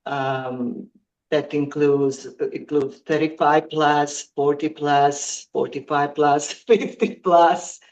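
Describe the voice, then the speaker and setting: Woman, 50s, podcast guest; unhurried, halting delivery full of ums. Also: sits low, fairly even intonation, darkish tone, poor audio. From Croatia. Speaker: guest athlete. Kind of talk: podcast interview.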